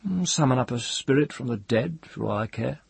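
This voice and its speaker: A man's voice: medium pitch, expressive, brisk, gravelly, husky, no room sound, voice pushed up, full character voice. A man voicing a disdainful, taunting older lad, a young sorcerer's rival in a fantasy audiobook. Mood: bored scorn. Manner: scornful, taunting, mocking. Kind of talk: dramatized audiobook fantasy storytelling.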